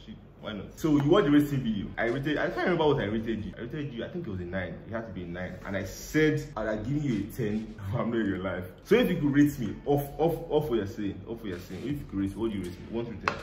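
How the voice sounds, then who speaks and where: A man's voice: fairly low pitch, expressive, a little mellow, slight background noise. A young man from Nigeria, a YouTuber, chatting playfully and teasing with frequent laughter, filmed on his phone in a living room. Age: young adult. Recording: roomy echoey room.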